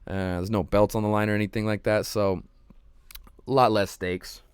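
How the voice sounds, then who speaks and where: A man hosting his own show, chatting casually and rambling with lots of ums and slang, his voice slightly hoarse. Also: lowish voice, level tone, normal speed, no echo, studio quality. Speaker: podcast host. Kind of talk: casual solo livestream chat.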